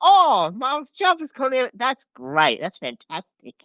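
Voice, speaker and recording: A man's voice: extremely high pitch, huge pitch swings, a little slow, no echo. A man chatting casually as a podcast guest, informal and enthusiastic, on a slightly lo-fi recording. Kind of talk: casual chat.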